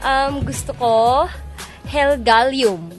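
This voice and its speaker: Young Filipina guest DJ, high register, chatty and courteous, over a music bed. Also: female voice, very slow. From Philippines, Cagayan province. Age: young adult. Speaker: guest DJ on campus radio. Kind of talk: casual radio chat. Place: radio studio.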